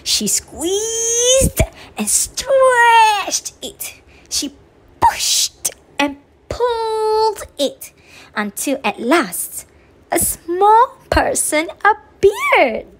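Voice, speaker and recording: A woman reading a children's story aloud in an expressive, drawn-out way meant for young listeners. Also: extremely high pitch. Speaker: storybook reader on a children's channel.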